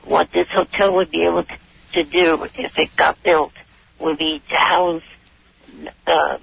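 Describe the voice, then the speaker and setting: A male phone-in caller with a heavily gravelly, somewhat high voice. He speaks haltingly and earnestly over a muffled, lo-fi phone line. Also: little pitch movement. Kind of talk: hesitant phone-in pitch.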